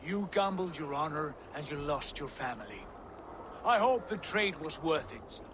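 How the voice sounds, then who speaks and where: A man's voice: on the high side, expressive, unhurried, noisy background. A man acting a proud, ambitious Viking prince in a game cutscene, speaking solemnly and formally. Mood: defiant. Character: proud, ambitious Viking prince, defiant son leading his clan away.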